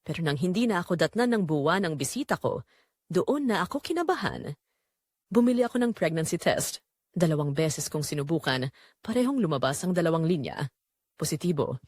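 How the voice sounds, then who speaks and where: Filipina storyteller with lively, swinging pitch. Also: female voice, fairly quick, no room sound, clean studio sound. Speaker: narrator of an audio drama, reading as the wife. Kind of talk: scripted first-person confessional story narration.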